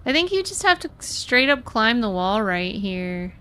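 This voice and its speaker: A woman's voice: on the high side, big pitch swings, unhurried, a bit bright, clear, resonant tone. An American woman from Florida giving casual live gameplay commentary, chatting with viewers as a streamer. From Florida, USA. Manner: chatty, greets and thanks viewers. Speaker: live game streamer and host.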